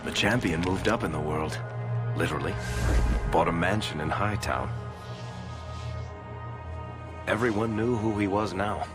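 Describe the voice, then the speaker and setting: Guy voicing wry fantasy game rogue, deep voice, sardonic storyteller, music behind. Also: normal speed, lightly acted. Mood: storytelling. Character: wry storyteller, roguish dwarf companion recounting past adventures. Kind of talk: cinematic fantasy game dialogue and narration.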